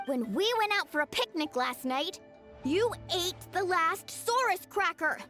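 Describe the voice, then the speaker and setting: A woman's voice: extremely high pitch, expressive, measured pace, sharp tone, exaggerated voice. A woman voicing a bubbly young girl in an anime-style game, theatrical and flustered in mock outrage, with music behind her.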